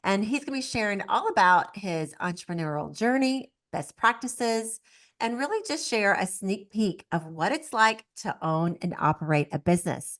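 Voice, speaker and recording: A woman's voice: mid-range voice, animated, normal speed, a bit bright. A woman hosting a business podcast, opening the show and prompting her guest with short encouraging replies. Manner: upbeat, encouraging, brief affirmations. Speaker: podcast host. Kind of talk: scripted show intro and interviewing.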